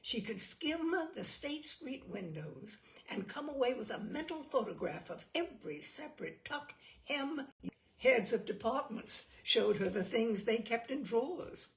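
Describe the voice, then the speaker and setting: A woman reading a literary short story aloud expressively, with deliberate dramatic emphasis and pauses. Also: fairly high pitch, sing-song, creaky. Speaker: storyteller, audiobook reader.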